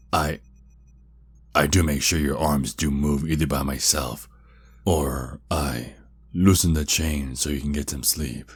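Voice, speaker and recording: Man voicing a gravelly, very deep vampire captor. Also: exaggerated voice. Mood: taunting, controlling. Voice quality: gravelly, husky, breathy. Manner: slow, intimate, deliberate, with drawn-out pauses. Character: possessive, menacing male vampire kidnapper, seductive and taunting. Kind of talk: ASMR roleplay acting.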